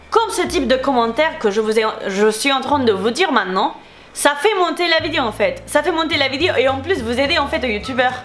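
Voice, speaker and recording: High-voiced Spanish-accented woman vlogging. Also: fairly bright tone. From Spain. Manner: heated, irritated, repetitive. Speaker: beauty YouTuber. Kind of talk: casual vlog, replying to comments.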